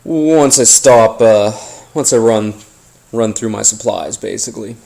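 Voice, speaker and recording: A man hosting a paranormal podcast, telling his story informally in a halting, rambling, conspiratorial way. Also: on the low side, gravelly, warm tone. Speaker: podcast host. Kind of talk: informal first-person storytelling monologue, paranormal audio drama.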